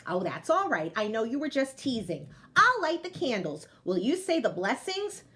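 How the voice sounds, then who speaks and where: A woman's voice: fairly high pitch, sing-song, normal speed, fairly bright tone. A woman voicing a kindly, chatty old grandmother who fusses over and coaxes her guest in a storybook tale. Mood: kindly, fussing. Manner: fussing, coaxing, exclaiming.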